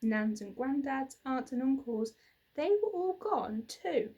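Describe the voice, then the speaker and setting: Husky, high-voiced woman reading a storybook. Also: lively intonation, dark tone. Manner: dramatic, deliberate emphasis. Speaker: teacher reading a children's book aloud. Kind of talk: expressive storybook narration. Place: small plain indoor room.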